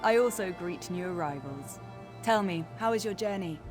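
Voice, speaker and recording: An actress playing a gracious, earnest orc high priestess in a video game, speaking in a formal, stately, welcoming manner. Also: female voice, lively intonation, moderate pace, over music, natural voice. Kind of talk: video game character acting.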